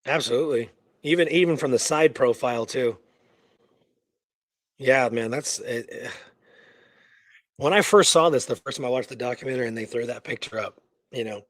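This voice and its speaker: A man's voice: medium pitch, moderate pace, long pauses, no echo, clean studio sound. A man co-hosting a radio show, casually telling historical research and often checking in with "right?" and "you know".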